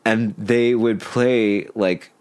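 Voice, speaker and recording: A man whose first language is Spanish, a podcast guest chatting casually and telling stories in animated, slangy talk full of filler "like". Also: on the low side, very slow, clear, resonant tone. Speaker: podcast guest, singer and songwriter. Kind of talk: casual chat.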